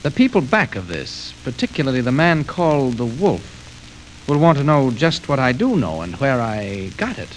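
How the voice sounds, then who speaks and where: An actor playing an earnest, level-headed newspaper reporter in 1940s radio style, polite and assured. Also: male voice, medium pitch, very animated, normal speed, natural voice. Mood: determined. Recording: noisy, crackly old radio show record.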